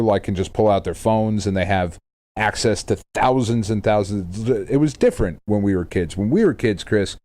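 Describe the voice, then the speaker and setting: Low-voiced American man, rambling casual podcast talk. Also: warm tone. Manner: rambling, jokey, profane. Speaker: podcast co-host. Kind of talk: casual banter.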